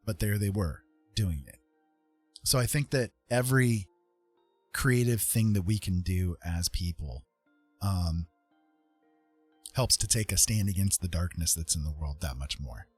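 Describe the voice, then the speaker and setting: A man's voice: deep voice, unhurried, long pauses, a little mellow, husky. A middle-aged man hosting a casual livestream, talking in an earnest, musing and hesitant way. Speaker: livestream host, artist and writer. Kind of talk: casual reflective livestream chat. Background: music.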